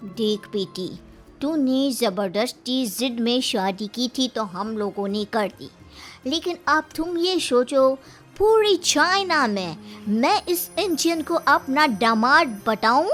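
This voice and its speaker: A haughty middle-aged cartoon mother, put on by a woman, commands with scorn. The voice is forceful and sharp, pitched well below the performer's heroine, with music faintly behind. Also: animated, slow pace, full character voice. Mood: disdainful.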